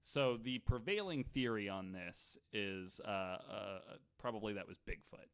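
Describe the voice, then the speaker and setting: Guy hosting a podcast, kinda low voice, dry sound, tells stories casually and does both sides of the convo. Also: measured pace, a bit bright, clear, resonant tone, dry recording. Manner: acts out both sides of retold dialogue, casual swearing. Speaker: podcast host. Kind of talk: casual comic storytelling among friends.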